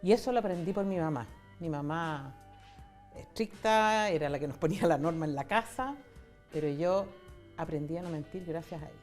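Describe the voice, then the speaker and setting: Low-pitched Chilean woman in her sixties. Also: normal speed, darkish tone, faint music behind. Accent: Chilean Spanish. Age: 60s. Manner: candid, conversational, retells dialogue. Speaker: interview guest, foundation founder. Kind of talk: personal storytelling in an interview.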